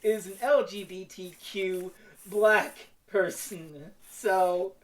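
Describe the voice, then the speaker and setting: A woman's voice: mid-range voice, fairly even intonation, slow pace, low-quality recording. A woman chatting casually and jokingly on a panel, exclamatory and prone to swearing.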